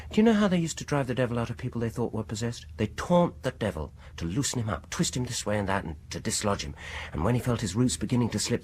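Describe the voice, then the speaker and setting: Creepy british dentist in a radio horror play, low husky voice that swoops about, fake soothing and needling. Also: male voice, darkish tone, slight background noise. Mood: coolly menacing. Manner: deliberate, insinuating, falsely soothing, chuckling at his own remarks. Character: probing, needling male dentist with sinister false politeness. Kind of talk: radio horror drama acting.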